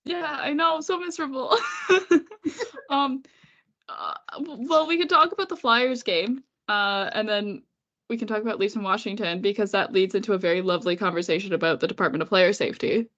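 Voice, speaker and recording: A congested woman with a cold talks hockey in a casual, chatty way, laughing often and slipping in sarcastic remarks. Her voice sits at a middling pitch. The audio sounds a bit dull and lo-fi. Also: normal speed, fairly bright tone. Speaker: podcast co-host. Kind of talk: casual chat about hockey.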